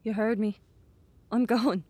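A woman's voice: a little high, fairly even intonation, slow pace, a little mellow, no echo. A woman playing a lonely, manipulative teenage boy, insistent and pushy, in naturalistic audio drama. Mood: hurt, threatening.

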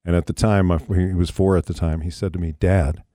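A man's voice: sits low, little pitch movement, a little fast, dark tone, dry recording, studio quality. A man hosting a radio show, easygoing and laughing along as he tells a story. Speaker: radio host. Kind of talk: casual radio interview.

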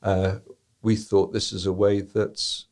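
A man talking as a podcast guest, giving measured, deliberate answers. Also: on the low side, level tone, a little mellow. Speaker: tour company guest.

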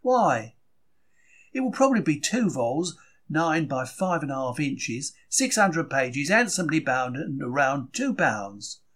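Husky, animated, droll 1930s bookseller played by a man. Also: a little high, unhurried, exaggerated voice. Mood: wry, matter-of-fact. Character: chatty, wry 1930s London bookseller, a man. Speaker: diary reader. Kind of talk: dramatised diary reading.

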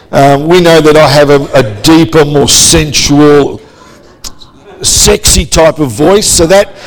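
Loud, joking male preacher. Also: a little high, poor audio. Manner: casual, joking preacher with frequent restarts. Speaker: pastor. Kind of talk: sermon. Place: church hall.

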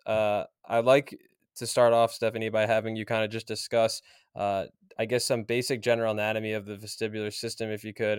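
A man hosting an interview podcast, talking in a relaxed, friendly way with frequent fillers. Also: on the low side, clean tone, no echo, clean studio sound. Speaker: podcast host.

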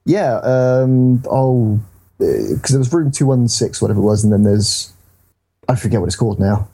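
A man's voice: medium pitch, normal speed, dark tone, husky. A man hosting a casual, rambling and enthusiastic discussion about games. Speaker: podcast host.

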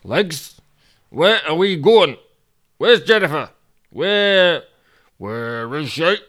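A man's voice: on the high side, animated, very slow, a bit bright, pitched above the performer's own voice, heavily acted. A man playing a belligerent, demanding, foul-mouthed man in a comic story. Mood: urgent. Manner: blustering, demanding, sweary. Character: belligerent, foul-mouthed man bossing his own legs.

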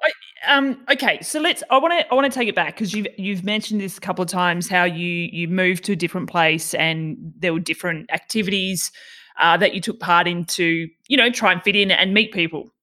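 A woman's voice: fairly low pitch, fairly quick, pushed and bright. A woman hosting a podcast in a casual, informal chat, asking inquisitive questions. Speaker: podcast host.

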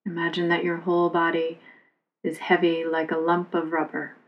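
A woman yoga instructor leading a guided relaxation meditation in a calm, soothing, unhurried manner. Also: lowish voice, muffled recording.

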